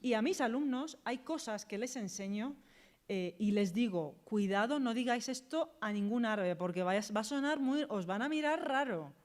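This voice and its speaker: A woman's voice: a little high, lively intonation, moderate pace. A woman with an accent from Spain answers as a university language lecturer, explaining in a direct, practical way with quoted examples. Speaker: university Arabic teacher and lecturer.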